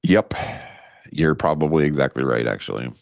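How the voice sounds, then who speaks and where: Podcast co-host, male, extremely deep voice, dry close sound, rambly storyteller. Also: normal speed, gravelly, no room sound. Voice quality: low voice. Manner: rambling storyteller, lots of fillers. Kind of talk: casual chat.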